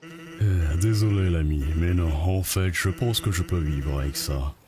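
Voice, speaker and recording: A man's voice: low-pitched, unhurried, crowd noise. A man voicing a lazy, unbothered skeleton brother in a cartoon dub, with a nonchalant drawl. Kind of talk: animated series dub acting.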